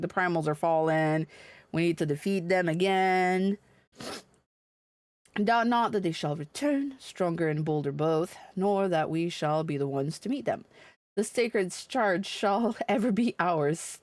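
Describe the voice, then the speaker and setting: Woman, mid-pitched voice, game streamer, blunt and irreverent, casual delivery, dry close recording. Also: no room sound. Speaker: video game livestreamer. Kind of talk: casual livestream chat mixed with reading game dialogue aloud.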